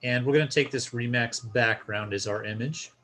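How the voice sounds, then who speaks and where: Male tutorial presenter, medium pitch, casual and instructional, guiding viewers through an on-screen walkthrough. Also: normal speed, pushed and bright. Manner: informal, explanatory, step-by-step.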